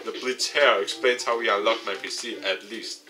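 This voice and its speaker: A man's voice: on the low side, fairly even intonation, moderate pace. A man reading a visual novel's narration aloud as a game playthrough. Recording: game music behind the voice. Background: game music.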